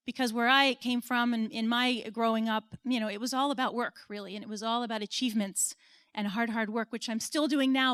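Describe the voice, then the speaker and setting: An American woman in her forties speaks to a room of listeners, earnest and personal, with a light laugh at her own expense now and then. Her voice sits a little high, and the sound is close and dry, with no room echo. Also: fairly bright tone, smooth. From United States. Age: 40s. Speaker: conference speaker. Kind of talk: conference talk. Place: stage at an event.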